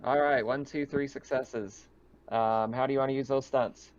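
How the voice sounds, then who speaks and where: A man's voice: medium pitch, steady tone, normal speed, highs cut off. A man acting as game master, narrating a tabletop roleplaying game in a casual, explanatory way.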